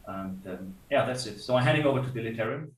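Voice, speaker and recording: A man from Germany on a livestream video call, talking in a rambling, self-correcting way as a developer co-hosting the stream. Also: fast. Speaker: blockchain developer and stream co-host. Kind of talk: casual livestream chat. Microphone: computer mic on a video call. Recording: roomy, distant mic with faint rumble.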